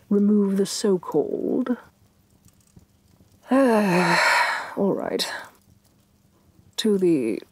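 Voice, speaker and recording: A domineering evil queen voiced by a woman for a fantasy ASMR roleplay. She speaks softly and very slowly, with breath in every phrase. Her delivery swoops expressively, imperious and scornful, with menace under the softness. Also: lowish voice, dry recording, full character voice. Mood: exasperated disdain. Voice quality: soft, breathy. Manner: imperious, deliberate, disdainful, sighing with exasperation. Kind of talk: soft-spoken ASMR roleplay.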